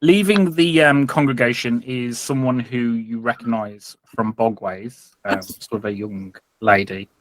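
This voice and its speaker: A man's voice: medium pitch, a little slow, few pauses, dry recording, rough recording. A man narrating a tabletop game as game master, improvising his descriptions with some hesitation.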